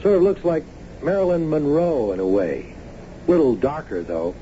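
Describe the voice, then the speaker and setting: A protective, friendly boss in a radio play. His voice is very mellow yet moves around a lot in pitch. The recording sounds dull. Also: very animated, moderate pace. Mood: casual, concerned. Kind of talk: naturalistic radio drama acting. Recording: muffled, faintly noisy old radio broadcast.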